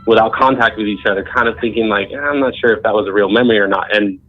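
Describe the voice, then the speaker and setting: A man's voice: on the low side, rapid-fire. A man in his thirties from Southern California, calling in to tell a story in a laid-back, rambling way. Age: 30s. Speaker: caller. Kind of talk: casual phone-in storytelling. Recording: muffled phone line with faint music.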